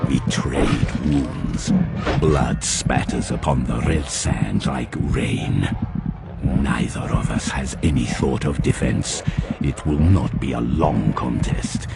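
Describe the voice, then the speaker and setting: An actor playing a savage, rage-driven warrior champion in an audio drama, with gravelly, menacing, intense delivery. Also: male voice, slow pace, heavily acted. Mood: grim, brutal. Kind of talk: audio drama first-person narration. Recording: loud rumbling battle noise behind the voice.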